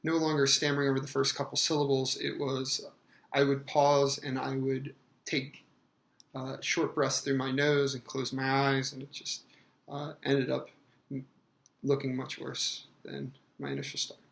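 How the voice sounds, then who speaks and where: A man in his thirties answering interview questions hesitantly, with many fillers and pauses. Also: medium pitch, completely flat delivery, gravelly, fairly bright tone, echoey room. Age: 30s.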